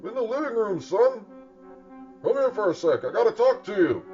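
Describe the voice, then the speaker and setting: Actor as stern dad, high-pitched delivery, horror radio play, music behind. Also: male voice, very animated, moderate pace. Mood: grave. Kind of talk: horror audio drama dialogue. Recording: muffled audio under prominent music.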